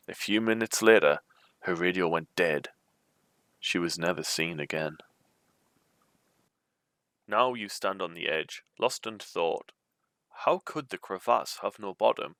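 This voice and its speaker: A man's voice: sits low, a bit bright, dry recording. A man reading an adventure storybook aloud as its narrator. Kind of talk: reading a choose-your-own-adventure book aloud.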